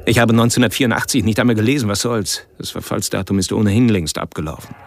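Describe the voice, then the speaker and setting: A man's voice: low voice, gravelly, a little hiss or hum. An actor playing an embittered, articulate law-and-order man who broods with irony in a radio drama monologue. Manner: ironic, self-pitying, articulate.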